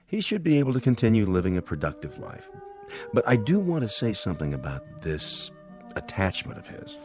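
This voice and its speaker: An actor playing a reasonable, measured male doctor in a radio drama, polite, tactful and probing. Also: fairly low pitch, wildly swooping pitch, darkish tone, soft background music. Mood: reassuring.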